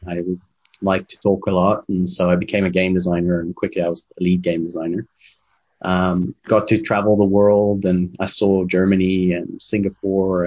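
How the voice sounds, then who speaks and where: A deep-voiced, husky man whose first language is Afrikaans tells his story at length, with plenty of ums and restarts. The recording sounds dull and lo-fi. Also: darkish tone. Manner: rambling storyteller with many fillers. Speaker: guest, game development manager and coach. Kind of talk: casual interview, personal story.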